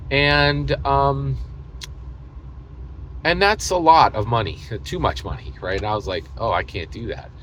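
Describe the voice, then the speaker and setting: An American man hosting a casual, opinionated gaming monologue, speaking emphatically and repetitively, often halting mid-thought and leaning on hyperbole. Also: mid-range voice, a bit bright, a little hiss or hum. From United States. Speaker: host of a tabletop gaming video channel.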